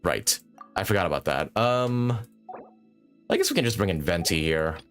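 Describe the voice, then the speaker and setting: A man doing casual, informal live gameplay commentary, thinking out loud as a streamer. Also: sits low, lively intonation, moderate pace. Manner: informal, swearing, thinking aloud. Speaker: game livestreamer. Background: video game music and sound.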